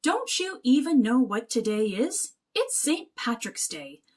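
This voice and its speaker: A woman voicing a brisk, dismissive uncle mouse in a storybook, jolly but scolding and scoffing. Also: fairly high pitch, sing-song, a little mellow. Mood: scoffing, bossy. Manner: blustering, scoffing.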